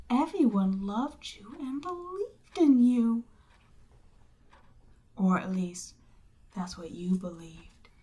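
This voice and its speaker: A woman voicing a seductive, imperious demon queen in an audio roleplay, speaking deliberately and theatrically, with breathy near-whispered phrases. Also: a little high, warm tone. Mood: mocking, knowing. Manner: slow, deliberate, theatrical, persuasive. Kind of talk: roleplay audio drama, ASMR monologue.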